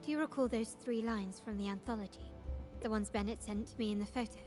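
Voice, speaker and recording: A woman's voice: fairly high pitch, fairly even intonation, a little mellow, faint music behind, close to an everyday voice. An actress playing a composed, formal, analytical woman investigator in a video game, speaking politely and precisely. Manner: measured, polite, articulate. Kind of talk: scripted video game dialogue.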